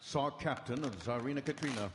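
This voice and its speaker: A man's voice: mid-range voice, a little fast, noisy background, close to an everyday voice. An actor playing an earnest, weary Victorian doctor reading out his journal in a live radio drama. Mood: grave, reporting. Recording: echoing hall with live music behind.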